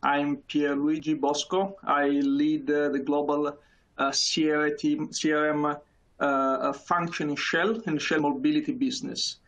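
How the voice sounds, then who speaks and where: Man, Neapolitan Italian accent, thirties, halting presentation full of "uh", raised pitch, webcam from a small room. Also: level tone, a bit bright, lo-fi sound. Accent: Italian, from Naples. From Italy. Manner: halting, many fillers and repeated words. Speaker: conference speaker. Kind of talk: presentation over video call. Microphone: video call webcam.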